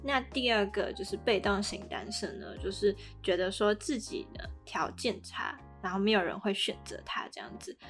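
A woman's voice: medium pitch, moderate pace, hardly any pauses, soft background music. A woman with a Taiwanese Mandarin accent, co-hosting a podcast and explaining things in a conversational way. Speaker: podcast co-host.

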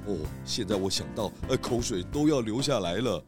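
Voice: mid-range voice, lively intonation, moderate pace, over music, lightly acted. An actor playing a kindly, food-loving pig detective in a children's audio drama, exclamatory and encouraging. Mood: cheerful, admiring.

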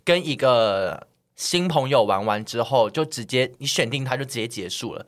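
A man's voice: medium pitch, lively intonation, normal speed. A man with a Taiwanese Mandarin accent, a podcast co-host bantering casually in a teasing, reactive way.

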